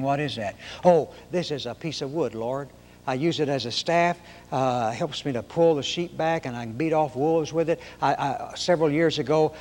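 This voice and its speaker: A man from Oklahoma with a high-pitched nasal voice, preaching a sermon in folksy storytelling fashion. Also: long reverb tail. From Oklahoma, raised in Arkansas.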